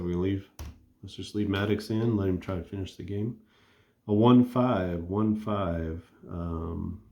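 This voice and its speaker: A man calmly narrating a tabletop baseball replay play by play, thinking aloud as he rolls the dice. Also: sits low, creaky, echoey room. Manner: relaxed and conversational, thinking aloud while rolling.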